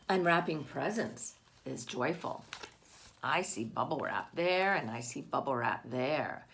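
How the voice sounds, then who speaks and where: A woman's voice: on the low side, gravelly, slight background noise. A woman reading a picture book aloud to children, expressively, with asides and questions addressed to the young listeners. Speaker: read-aloud storyteller for children.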